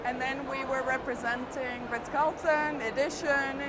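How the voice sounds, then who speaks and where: A middle-aged woman from Spain, an executive telling her career story in a relaxed interview, speaking fluently with some fillers. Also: high-pitched, measured pace. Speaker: hotel company executive. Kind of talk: conversational interview. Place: busy trade show floor. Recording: loud background noise at a trade show.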